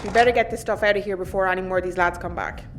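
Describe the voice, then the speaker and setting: A woman playing a sharp-tongued, sardonic sister on a spaceship crew in an audio drama. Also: medium pitch, fairly even intonation, very fast, over music, natural voice. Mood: grudging. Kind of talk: sci-fi comedy audio drama dialogue.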